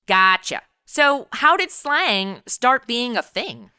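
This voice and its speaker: A woman's voice: a little high, very animated, slow pace, bright, forceful tone, clean tone, no echo, studio quality. A woman hosting a podcast, asking curious questions to prompt her co-host. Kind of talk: scripted interview questions.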